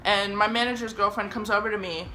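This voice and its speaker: Young woman, from germany, casual storytime, chatty, swears a lot, mid pitch, faint background hum. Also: fast talker, poor audio. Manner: chatty, swears often, lively re-enacted quotes. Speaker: vlogger telling a story.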